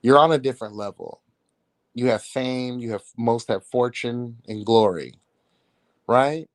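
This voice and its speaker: A man's voice: medium pitch, a little slow, dry recording. A man giving casual celebrity gossip commentary as a livestream host, with a sassy, catty, blunt and slangy way of talking.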